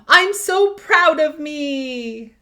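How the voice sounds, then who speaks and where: A woman voicing an eager, proud toddler from a storybook, with simple, expressive, sing-song delivery. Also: high-pitched, extremely slow, voice pushed up, exaggerated voice. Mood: excited, proud. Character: eager, proud toddler learning to use the potty.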